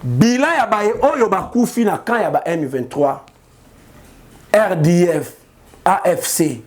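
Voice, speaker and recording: A male news commentator. He talks slowly in a fairly high voice. He often mocks the people he talks about and laughs at his own remarks. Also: lively intonation, a little mellow.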